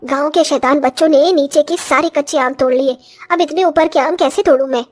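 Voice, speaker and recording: A woman voicing a short older village mother-in-law in a cartoon story, complaining and exclamatory but grudgingly soft-hearted. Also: high voice, fairly even intonation, brisk, close to an everyday voice. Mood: worried. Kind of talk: Hindi cartoon story voice acting.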